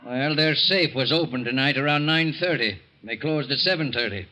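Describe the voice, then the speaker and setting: An actor playing a blunt, suspicious police captain in a 1940s radio drama, asking dry, probing questions. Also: male voice, mid-range voice, normal speed, pushed and bright, natural voice, poor audio.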